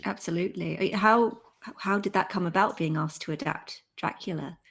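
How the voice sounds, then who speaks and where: Woman, slightly low-pitched voice, interview host, conversational questioning. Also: moderate pace, fairly bright tone.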